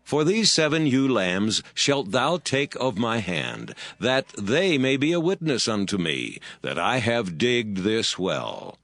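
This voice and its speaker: A man voicing a grave, firm old patriarch with a gravelly voice, speaking slowly and deliberately. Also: medium pitch, sing-song, a bit bright, dry recording, full character voice. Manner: slow, deliberate.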